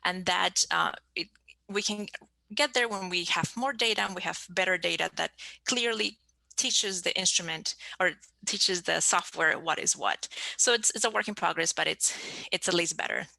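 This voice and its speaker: Woman scientist, 30s; lowish, gravelly voice, halting delivery full of fillers. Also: bright, forceful tone, no room sound, rough recording. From Peru. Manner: halting, with many fillers and restarts. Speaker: scientist presenter. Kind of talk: online science talk.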